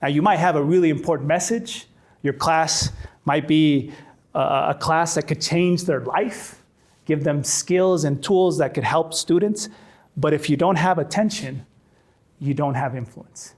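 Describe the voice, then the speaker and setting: A man's voice: a little high, unhurried, a little mellow. A man in his forties from Chile, a storytelling trainer giving a conversational workshop talk to a faculty audience, often repeating words as he restarts. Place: classroom with a live audience.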